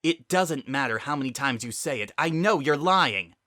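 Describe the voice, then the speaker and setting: A man's voice: medium pitch, animated, moderate pace, no room sound, clean studio sound, voice pushed up. A man playing a tough, hostile female pegasus pony, scornful and accusing, in a dramatic story reading. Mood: angry accusing. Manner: forceful, scornful.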